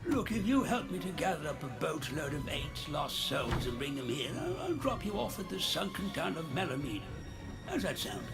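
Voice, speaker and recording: A world-weary ferryman of the dead for a video game. The voice is raised a little and crackles with gravel, grand yet chummy and grumbling. Loud music runs behind it. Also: a little high, big pitch swings, moderate pace. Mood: wry grumbling. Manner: theatrical greeting sliding into chummy grumbling. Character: chatty undead ferryman of lost souls, world-weary. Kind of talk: video game character acting.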